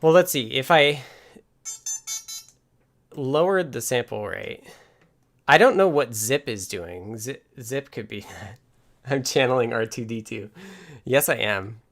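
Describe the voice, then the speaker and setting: A man casually talking through live coding and electronics as a stream host, halting as he thinks aloud. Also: medium pitch, lively intonation, gravelly, fairly bright tone. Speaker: livestream host and software developer.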